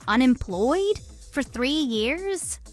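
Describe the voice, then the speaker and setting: A woman's voice: high-pitched, very animated, drawn-out words, faint music behind, heavily acted. A woman playing a greedy, haughty, easily shocked mistress in a scripted audio drama. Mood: shocked, incredulous. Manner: haughty, gloating, incredulous. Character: smug, greedy, gold-digging young woman, easily shocked.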